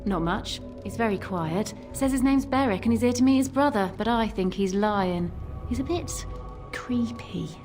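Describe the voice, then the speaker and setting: Actress as a worn-out game tavern barmaid, a bit high, resentful, light music bed. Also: female voice, expressive, moderate pace, hardly any pauses, natural voice. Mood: weary. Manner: tired, guarded, resentful of her boss. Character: weary young barmaid at a tavern. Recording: game audio over music.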